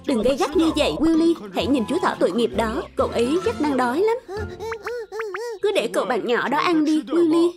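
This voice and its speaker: A plump cartoon cat with a gentle nature and a hidden temper, speaking in a high voice, as a woman voices it. It soothes its friends in one moment and snarls at intruders in the next. Loud music plays under the dubbed voice. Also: sing-song, moderate pace. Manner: soothing, then snarling when angry. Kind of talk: Vietnamese cartoon dub. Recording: dub with loud music behind.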